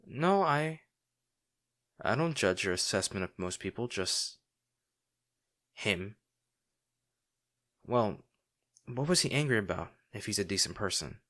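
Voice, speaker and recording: A man playing a devoted, remorseful bodyguard to a noble lady in a fantasy audio drama, speaking in a formal, halting, earnest way. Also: lowish voice, lively intonation, fairly bright tone. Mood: restrained, wry.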